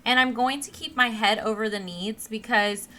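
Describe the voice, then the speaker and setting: A young woman with a naturally monotone voice, casually narrating her gameplay with plenty of ums and restarts. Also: fairly high pitch, moderate pace. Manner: rambling, with many ums and restarts. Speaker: let's play host. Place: home computer setup. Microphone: desk mic replacing a broken headset.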